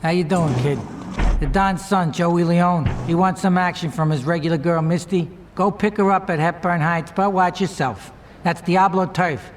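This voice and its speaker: A man's voice: high-pitched, a little hiss or hum, lightly acted. A man playing a brash mob pimp boss. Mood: bossy. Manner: brash, demanding, fast-talking. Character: sleazy mob pimp boss, greedy and pushy.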